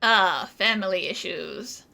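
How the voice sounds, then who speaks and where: A woman's voice: medium pitch, slow, vocal fry, pushed and bright, a little hiss or hum. A woman giving casual commentary as she plays through a video game. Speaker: gameplay streamer.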